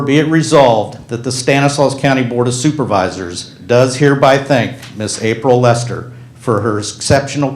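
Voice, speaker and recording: A man's voice: medium pitch, slight background noise. A man, a county supervisor, reading a formal resolution aloud at a public meeting and adding brief spoken asides. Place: county board meeting room. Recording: echoey meeting chamber.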